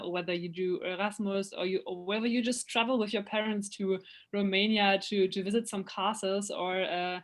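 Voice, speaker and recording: A young woman presenting an online event, friendly, with many fillers and restarts. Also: mid-range voice.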